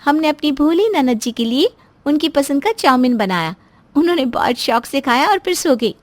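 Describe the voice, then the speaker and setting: A woman's voice: high-pitched, expressive, moderate pace, no echo, voice pushed up. A woman voicing a sly young sister-in-law in a moral story, coaxing and falsely sweet as she manipulates. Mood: reassuring.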